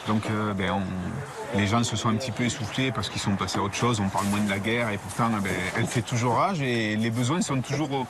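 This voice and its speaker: A man, president of a charity, speaking earnestly in an interview with halting restarts. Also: lowish voice, a crowd in the background. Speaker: association president. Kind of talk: interview testimony. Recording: outdoor street noise with traffic.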